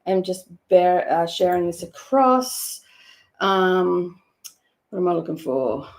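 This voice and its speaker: Woman, croaky gravelly voice, casual rambling chat, home craft stream. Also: fairly low pitch, animated, slow pace, a little mellow. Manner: rambling, chatty, many fillers. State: hoarse. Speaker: craft live-streamer host.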